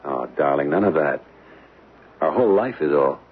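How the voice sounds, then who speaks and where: An actor playing an easygoing, affectionate young American husband and keen photographer in an old radio drama. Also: male voice, rumbling low, lively intonation, normal speed, slightly rough, heavily acted. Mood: tired, affectionate. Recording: muffled old radio broadcast with hiss.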